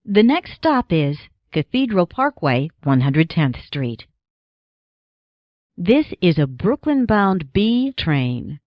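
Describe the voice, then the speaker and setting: An American woman reading scripted subway announcements as an automated announcer, in measured, segmented phrases. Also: fairly low pitch, sing-song, no echo, dull audio.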